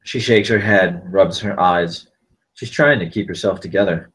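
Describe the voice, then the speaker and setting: Male narrator for screenplay action lines at a table read, notably low-pitched voice. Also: normal speed, fairly bright tone, low-quality recording. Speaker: stage-direction narrator.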